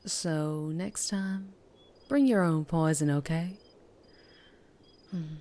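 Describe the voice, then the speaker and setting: A woman playing a mysterious, wary and teasing female vampire biker with a Southern US accent, in an intimate ASMR roleplay with breathy whispers. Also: warm tone. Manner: slow, low, teasing, lapsing into breathy whispers. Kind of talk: ASMR roleplay, scripted character acting.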